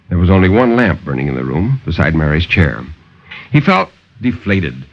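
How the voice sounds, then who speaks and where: Expressive low-voiced man, muffled old radio. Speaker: storyteller. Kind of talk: dramatic 1950s radio horror narration. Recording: muffled 1950s radio broadcast.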